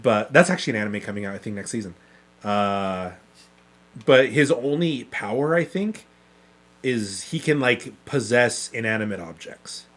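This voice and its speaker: A man's voice: medium pitch, animated, fairly bright tone. A man co-hosting a podcast with casual, geeky banter, enthusiastic, agreeable and sweary. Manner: enthusiastic, quick to agree, profane. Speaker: podcast co-host.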